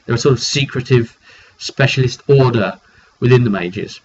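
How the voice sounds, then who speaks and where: British man, casual storyteller, halting and rambling delivery, average-pitched voice, dull recording, faint background noise. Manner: rambling, halting, pausing mid-sentence. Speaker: tabletop roleplay game narrator recapping a session.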